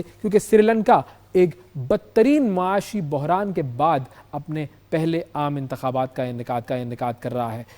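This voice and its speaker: A man's voice: fairly high pitch, darkish tone. A man with a Pakistani accent hosting a news show, explaining stories with emphasis and repeating key phrases. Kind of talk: news presentation with explanatory commentary.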